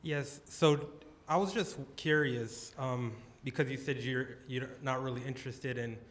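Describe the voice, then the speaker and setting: A man in the audience asking the artist a question, halting and searching for words with many "you know"s. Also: mid-range voice. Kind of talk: audience question at a gallery talk.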